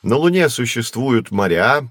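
An actor playing a patient, knowledgeable man who explains astronomy to children in a measured, reassuring way in an educational audio drama. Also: medium pitch, very animated, a bit bright, dry recording. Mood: explanatory, patient.

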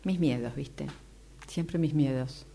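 An Argentine woman speaks in a casual, confiding way. Her voice is extremely low for a woman, creaky and dark, with faint room noise behind it. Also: slow pace. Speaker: radio drama workshop participant. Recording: faintly noisy room recording.

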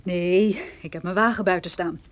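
A woman's voice: lowish voice, fairly even intonation, normal speed, lightly acted. A woman acting as a poised, flirtatious investigator in a radio drama, composed and knowing.

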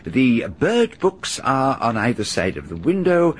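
Chuckling fussy old gentleman. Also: mid-range voice, big pitch swings, a little slow, slight background noise. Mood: chatty. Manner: chuckling, fussy, hesitant repeats. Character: genial older gentleman, amateur criminologist. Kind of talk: 1930s-style BBC radio drama.

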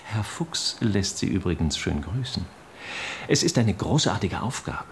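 An actor playing a slick, insistent political fixer in a radio drama, persuasive and grandly rhetorical. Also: male voice, low-pitched, sing-song, a little slow, vocal fry, slight background noise, full character voice. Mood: grand urging. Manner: rhetorical, emphatic, pronouncing slogans. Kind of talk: German radio drama acting.

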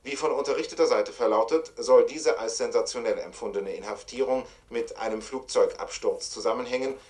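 A formal, impersonal newsreader in a radio drama, played by a man. He delivers a scripted bulletin at a middling pitch. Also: brisk, a little mellow, natural voice. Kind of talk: scripted news bulletin within a radio play.

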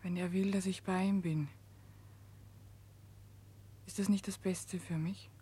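An actress playing a resigned but firm young Russian woman prisoner in a German radio play, husky and hushed. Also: on the low side, steady tone, a little slow. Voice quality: husky, breathy. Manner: hushed, half-whispered, restrained.

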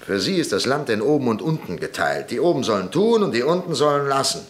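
An actor playing a stern, opinionated, easily angered middle-aged father and parliamentarian in a radio play, probing and gruff. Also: medium pitch, big pitch swings, fast, natural voice. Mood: bitter, angry.

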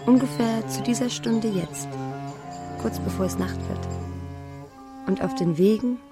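Young radio-drama heroine, melancholy, yearning, intimate confessional delivery, medium pitch, music in background. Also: female voice, lively intonation, measured pace. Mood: wistful, confessional. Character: melancholy, yearning young bride who left her fiancé. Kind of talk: literary radio drama acting.

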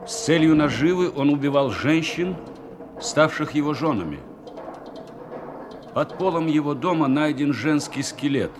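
An actor voicing a newspaper reporter in a radio play, reading a court report in a formal, announcing way. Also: male voice, on the high side, animated, measured pace, pauses often, music in the background.

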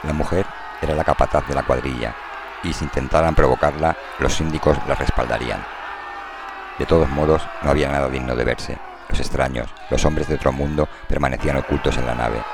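Super deep guy reading a novel for a radio drama, measured storyteller delivery, music playing behind. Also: steady tone. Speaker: narrator. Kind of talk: scripted dramatized novel narration. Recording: prominent music under the voice.

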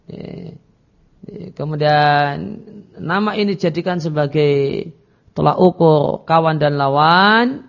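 A male Islamic teacher delivers a religious lecture in a slightly high, gravelly voice. He works through a text didactically, moving between Arabic phrases and their explanation. The audio is dull and lo-fi. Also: slow pace, long pauses. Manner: didactic, reads Arabic phrases then translates and explains them.

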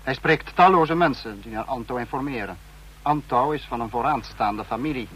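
A husky, brooding spymaster. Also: male voice, medium pitch, fairly bright tone, low-quality recording. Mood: cold, brooding. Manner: measured, brooding, commanding. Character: cold calculating male state security chief. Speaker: spymaster in a radio play. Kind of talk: vintage radio drama acting.

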